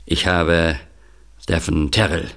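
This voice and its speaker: Shady middle-aged male agent for audio drama, acted by a man: heavy fry, halting delivery, guilty undertone. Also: deep voice, flat delivery, fairly bright tone, exaggerated voice. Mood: grave confession. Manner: hesitant, halting with interjections.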